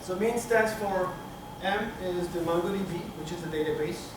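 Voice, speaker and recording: A middle-aged man from Turkey giving a technical conference talk, halting with many fillers and restarts, recorded from the back of a conference room. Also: high-pitched. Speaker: conference speaker. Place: conference room with projector screen. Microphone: room camera from the back of the hall. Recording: echoey hall, speaker far from mic, room noise.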